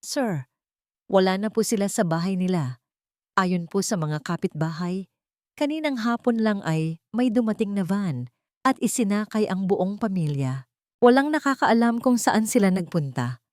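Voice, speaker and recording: A woman's voice: mid-range voice, animated, normal speed, darkish tone, no room sound, voice pushed up, natural voice. Female narrator as security chief, male, respectful and grave, dry audio-drama sound. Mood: grave, urgent. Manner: respectful, reporting. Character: male security chief, deferential, reporting grim news.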